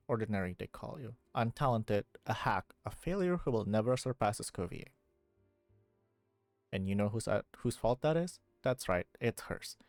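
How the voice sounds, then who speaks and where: A woman's voice: rumbling low, darkish tone, no room sound. A woman streaming a video game, commenting and reading dialogue aloud over game music.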